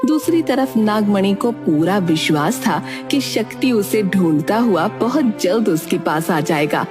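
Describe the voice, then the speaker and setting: A woman's voice: medium pitch, lively intonation, unhurried, music in the background. A woman storyteller reading scripted fairy tale narration.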